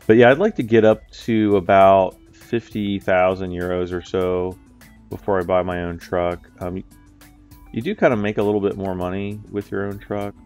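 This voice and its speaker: A man's voice: sits low, fairly even intonation, normal speed, darkish tone, clear, resonant tone, soft background music. A man from the US, stuffy and nasal from a cold, chatting in a rambling way with lots of ums as he plays a driving game. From United States. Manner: rambling, with many ums and uhs. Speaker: let's play host. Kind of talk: casual gameplay commentary.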